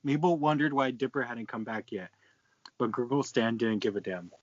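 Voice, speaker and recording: A man's voice: mid-range voice, moderate pace, no echo. A man casually reading fan fiction aloud, stumbling over lines and breaking off to swear and comment. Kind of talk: casual read-aloud with banter. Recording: dry, dull lo-fi close mic.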